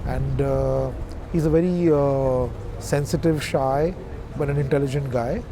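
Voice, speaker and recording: A man, a film director, describing his lead actor in an interview with hesitant pauses. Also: medium pitch, fairly even intonation, noisy background. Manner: hesitant, many uh fillers.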